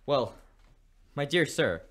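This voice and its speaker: Casting note: a startled courteous gentleman, slow-spoken with lively pitch. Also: exaggerated voice. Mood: flustered. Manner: hesitant, flustered, formal. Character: flustered, polite older charity gentleman.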